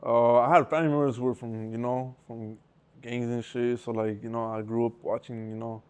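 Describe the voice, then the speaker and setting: A man's voice: medium pitch, flat delivery, a little fast, darkish tone, smooth. A young man from Southern California telling stories in a rambling, profane way full of street slang. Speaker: interview guest. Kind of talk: casual storytelling with heavy slang.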